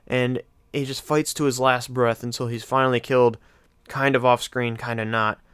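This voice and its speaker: A man's voice: medium pitch, fairly even intonation, moderate pace, a bit bright, clean tone, no echo, clean studio sound. A man hosting a gaming countdown with casual, informal and enthusiastic commentary. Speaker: gaming video host.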